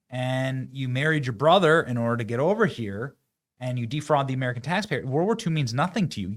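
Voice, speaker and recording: A man hosting online political commentary, talking in a mocking, sarcastic and emphatic way. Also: mid-range voice.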